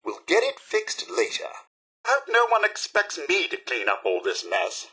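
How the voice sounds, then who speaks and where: Game robot butler: British, prim and fussy, raspy edge, very animated, exasperated but devoted. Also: male voice, high-pitched, measured pace, heavily acted. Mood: dismayed. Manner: formal, theatrical, deferential, prim. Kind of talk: video game character voice acting.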